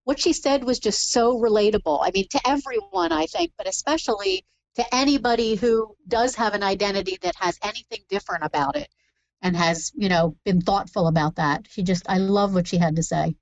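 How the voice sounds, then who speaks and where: A woman's voice: medium pitch, a little fast, dry recording, lo-fi sound. A woman talking about a book in a casual podcast chat, thoughtful and analytical.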